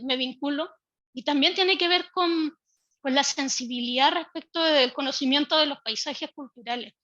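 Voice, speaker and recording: A woman giving a measured, explanatory talk as a speaker from a public early-education institution. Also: high-pitched, bright, forceful tone, no echo, highs cut off. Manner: measured, explanatory, occasional restarts. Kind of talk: online panel talk.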